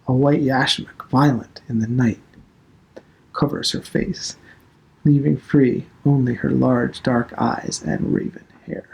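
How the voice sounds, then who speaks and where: A man reading a novel aloud as dramatic narration, deliberate and expressive. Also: mid-range voice, vocal fry, poor audio. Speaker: literature instructor reading aloud.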